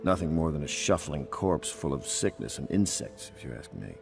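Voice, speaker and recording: An actor playing a grave, resolute loyalist leader in a video game, speaking in a formal, measured, oratorical way. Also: male voice, very deep voice, sing-song, creaky, background noise, full character voice. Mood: businesslike. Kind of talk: scripted game dialogue.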